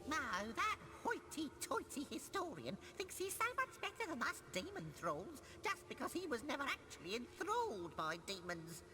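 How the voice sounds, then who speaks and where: An actress playing a scornful demon's thrall in a fantasy game, mocking and theatrical. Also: female voice, high voice, huge pitch swings, moderate pace, hardly any pauses. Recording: faint, distant, noisy game audio.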